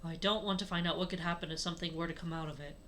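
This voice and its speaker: A woman's voice: lowish voice, fairly even intonation, very fast, fairly bright tone, a little hiss or hum, voice pushed down, lightly acted. A woman voicing a serious, wary male creature companion from a fan story, in a grave, uneasy manner.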